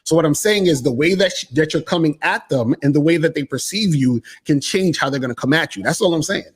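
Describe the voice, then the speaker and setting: A man's voice: fairly high pitch, quick pace, breathy edge. A man with a somewhat raised voice argues in a loose, casual chat. He circles back to the same point again and again and keeps rewording it to make himself understood. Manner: insistent, repeats himself. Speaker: dating coach.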